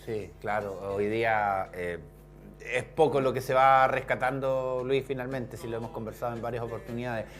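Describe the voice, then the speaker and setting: A Chilean man with a medium-pitched voice hosts a conversational interview. He builds his remarks in halting pieces, pausing between phrases, with faint music in the background. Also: fairly even intonation. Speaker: interviewer and host.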